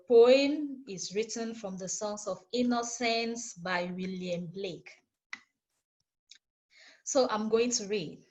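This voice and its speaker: A woman speaking deliberately as she presents and recites poetry to an informal group. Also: mid-range voice. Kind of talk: informal introduction and poem recitation. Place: online video call.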